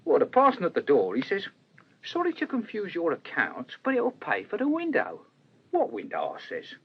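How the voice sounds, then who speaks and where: A man's voice: high voice, moderate pace, slight background noise, full character voice. An actor playing a chatty, deferential working-class waiter in a radio drama, telling his tale colloquially. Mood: animated, baffled. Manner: colloquial, animated storytelling. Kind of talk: radio crime drama acting. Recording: dull, rough radio drama recording.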